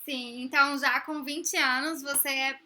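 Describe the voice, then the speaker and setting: A young woman from Brazil hosting a casual interview, chatty and explaining things clearly. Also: high voice, steady tone, unhurried, very noisy. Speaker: host. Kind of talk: casual chat.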